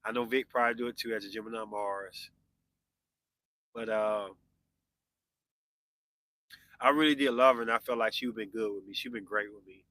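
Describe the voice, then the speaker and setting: A man in his forties hosts a live podcast and talks casually with his viewers. His voice is very bright and cutting. The sound is close and dry. He answers their comments in a loose, conversational way. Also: on the low side, fairly even intonation, brisk, no room sound. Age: 40s. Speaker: podcast host.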